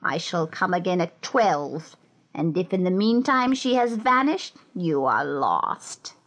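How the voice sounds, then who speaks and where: A woman voicing a cunning, imperious old witch queen in a fairy-tale audiobook, with measured, menacing pronouncements. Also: lowish voice, animated, a bit bright, pitched below the performer's own voice, full character voice. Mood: threatening.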